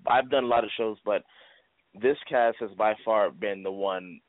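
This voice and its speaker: A man's voice: on the low side, normal speed, no echo. A man speaking as a stage actor in a conversational interview. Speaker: actor, lead of a stage play. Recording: thin phone-line sound.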